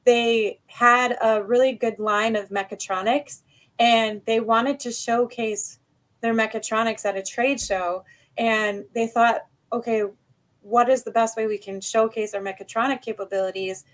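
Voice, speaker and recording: An American woman hosts a live interview over a video call, her voice sitting a little high. She talks in an upbeat, chatty way, greeting the guest and viewers. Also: steady tone, measured pace. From United States. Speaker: podcast host.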